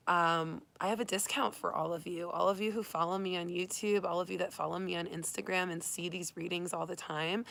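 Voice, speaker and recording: A woman who has nearly lost her voice, hoarse, hosting an upbeat, spiritual tarot reading for her channel. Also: fairly low pitch, a bit bright. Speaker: tarot reader and channel host. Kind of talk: casual livestream reading. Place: Arizona.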